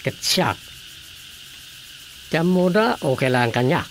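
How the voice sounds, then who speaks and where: A man's voice: on the high side, lively intonation, takes it slowly, a little hiss or hum. A man playing a self-assured village officer-in-charge in a comedic radio drama, commanding and matter-of-fact. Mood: plotting.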